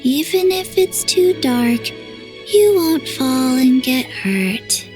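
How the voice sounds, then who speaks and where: A small dazed girl, forgetful and drifting. Her high voice creeps along extremely slowly, each phrase flat and halting. A gentle, absent-minded game character who seems half asleep. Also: soft background music, heavily acted. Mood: dreamy. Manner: slow, flat, halting delivery. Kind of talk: game voice acting.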